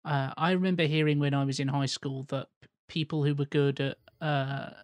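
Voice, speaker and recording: A man's voice: a little high, level tone, brisk, no room sound. A man co-hosting a podcast, speaking hesitantly with many restarts in casual chat. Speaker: podcast co-host.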